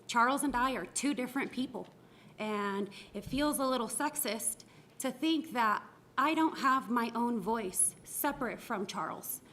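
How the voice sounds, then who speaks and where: A woman's voice: on the high side, fairly bright tone, a little hiss or hum. A woman answering as a school board candidate, earnest, switching between Spanish and English. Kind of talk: forum answer, personal and earnest.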